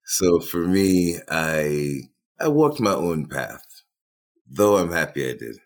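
A man, a guest in an interview, speaking reflectively and haltingly, often repeating words as he gets started. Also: low-pitched, sing-song. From Trinidad. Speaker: boom operator and filmmaker.

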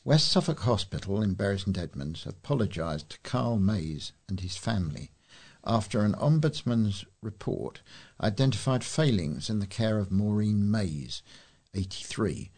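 A man's voice: on the low side, animated, normal speed, a little mellow, husky. A British man reading local news aloud as a volunteer news reader.

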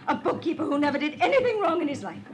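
High-pitched, bitter, hysterical avenger. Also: female voice, sing-song, fast talker, a crowd in the background. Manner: sarcastic, accusing. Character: bitter, near-hysterical avenging sister with a gun. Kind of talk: 1950s radio drama acting. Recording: noisy 1950s radio recording.

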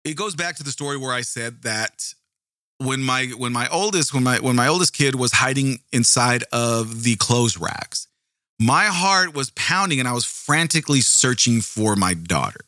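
A man with a booming, projecting voice, telling a story in a casual, animated and profane way, often repeating words. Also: normal speed, dry recording, clean studio sound. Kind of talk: casual true-crime storytelling.

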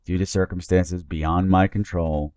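A man hosting a podcast, delivering a campy scripted introduction in a theatrical, playful, cheeky manner with drawn-out emphasis. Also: sits low, flat delivery, darkish tone, clean tone, no room sound, clean studio sound. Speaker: podcast host.

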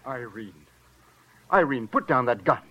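A man's voice: medium pitch, lively intonation, a little slow, lightly acted. An actor playing a troubled man pleading with the woman he loves in a 1950s radio crime drama. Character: troubled, pleading male partner of the murder suspect. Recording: noisy, dull vintage radio recording.

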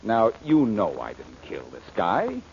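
Wisecracking amateur detective, unflappable, glib, very animated intonation, old radio acting, dull faintly noisy recording. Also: male voice, fairly low pitch, a little slow, full character voice. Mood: cool, glib. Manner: glib, teasing, breezy.

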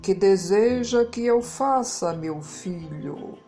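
Woman voicing slow, patient fatherly God. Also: mid-range voice, animated, mellow, soft background music.

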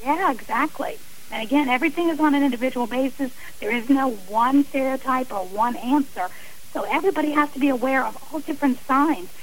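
Earnest, high-voiced woman in an interview. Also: brisk, low-quality recording. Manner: earnest, emphatic, explains with examples and repeated phrasing. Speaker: interview guest, author. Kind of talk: radio interview, personal and advisory talk.

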